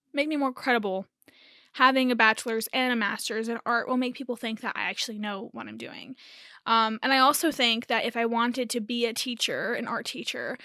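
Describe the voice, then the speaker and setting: Hoarse, raspy young woman rambling casually. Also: a little high, fairly bright tone, dry recording, clean studio sound. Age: early 20s. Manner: rambling, self-correcting, laughs nervously. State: hoarse from a lingering cold. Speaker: podcast host. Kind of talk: casual solo chat.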